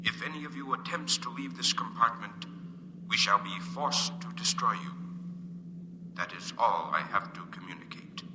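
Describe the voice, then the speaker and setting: An actor playing a cold, formal alien guard in a 1950s radio drama, speaking in stilted, measured announcements. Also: male voice, on the low side, lightly acted. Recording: noisy, echoing 1950s radio recording.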